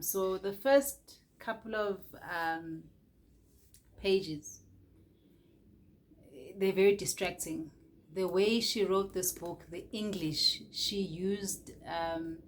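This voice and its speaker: Slow, hesitant Nigerian woman. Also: on the low side, slight background noise. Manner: hesitant, many fillers and restarts. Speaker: book reviewer. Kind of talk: casual book review.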